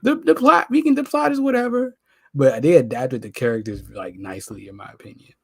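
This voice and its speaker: A man's voice: medium pitch, expressive, brisk, vocal fry, a bit bright, husky, no echo, studio quality. An American man co-hosting a pop culture podcast, talking casually and informally with plenty of slang and opinions. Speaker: podcast co-host.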